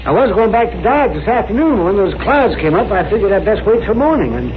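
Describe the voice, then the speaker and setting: A man playing a crusty, excitable older doctor in a 1960s radio western, testy and spluttering. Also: sits high, brisk. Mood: testy, protesting. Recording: tinny old radio recording, faint noise.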